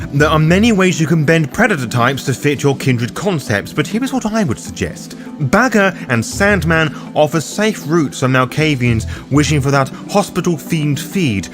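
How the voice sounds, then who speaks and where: A man narrating a scripted roleplaying guide as its host, theatrical and formally worded, with playful asides. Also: fairly high pitch. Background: background music.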